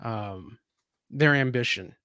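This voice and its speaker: A man who reviews movies, speaking as a guest in thoughtful, measured talk that pauses to find words. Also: medium pitch, bright, forceful tone, dry recording, studio quality. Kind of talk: casual discussion.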